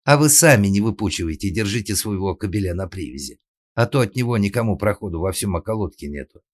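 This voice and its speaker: A man's voice: low-pitched, expressive, fairly quick, breathy edge, no room sound. A man voicing an indignant young maidservant who talks back in a defiant, colloquial, grumbling way in a comic story.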